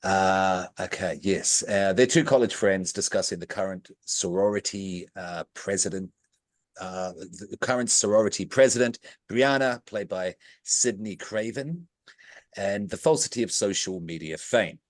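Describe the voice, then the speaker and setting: Deep-voiced man recapping with jokes. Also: measured pace, dry recording. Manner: jokey, playful asides. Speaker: podcast host. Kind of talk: casual podcast chat, recapping a film plot.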